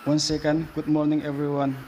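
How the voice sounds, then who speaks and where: A young man preaching a student sermon from a pulpit in a chapel hall, reading his prepared notes at a measured pace with small slips. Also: on the high side, completely flat delivery, darkish tone, a little hiss or hum.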